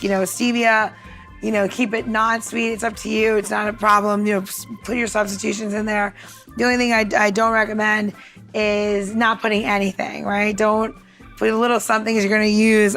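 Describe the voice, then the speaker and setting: A woman's voice: medium pitch, a bit bright. A woman co-hosting a podcast, talking casually and informally in a rambling, chatty way, as if walking someone through a recipe. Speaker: podcast co-host giving drink recipes. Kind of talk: casual recipe walkthrough and chat.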